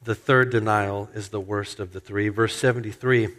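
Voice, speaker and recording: A man's voice: fairly even intonation, normal speed, a bit bright. A man preaching a sermon, walking through a passage verse by verse and acting out the quoted lines with a livelier voice. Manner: expository preaching, acts out quoted lines with rising pitch. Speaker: pastor. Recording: hall reverb.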